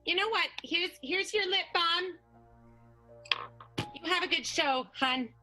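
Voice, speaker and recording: A woman acts a polite, flustered young woman in an improvised radio soap. The voice is high and sharp, faltering as it goes, over faint music. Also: slow pace, exaggerated voice.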